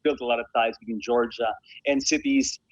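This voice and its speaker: A man's voice: medium pitch, fairly even intonation, brisk, no room sound, muffled recording. A man speaking as a guest in a conversational radio interview, emphatic and repeating phrases to stress them. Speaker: interview guest, sports association founder and honorary consul.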